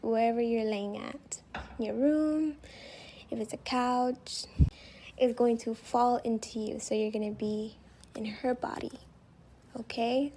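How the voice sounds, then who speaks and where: A woman who is not a native English speaker leads a guided meditation in a soft, breathy voice that slips toward a whisper. She speaks very slowly and gently, with a slightly high pitch. There is faint noise behind her. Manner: gentle, reassuring, unhurried. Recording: faint background noise, home recording.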